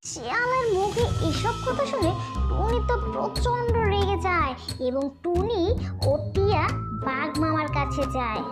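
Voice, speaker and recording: A woman's voice: extremely high pitch, expressive, measured pace. A woman narrating a scripted cartoon tale for children as its storyteller. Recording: loud music behind the voice.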